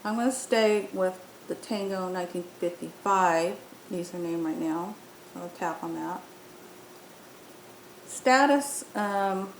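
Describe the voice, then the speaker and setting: A woman presenting an unscripted app demo, explaining step by step with some hesitation. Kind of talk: unscripted software tutorial narration. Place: indoor room, voice-over on screen recording. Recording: roomy echo, faint rumbling noise.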